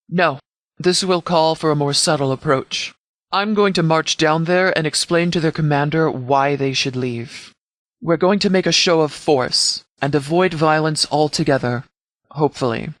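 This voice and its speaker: A man playing a commanding sheriff in a video game, speaking formally and deliberately as he briefs subordinates. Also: on the high side, fairly bright tone, no echo. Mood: firm, composed. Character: commanding, measured sheriff leading an armed department.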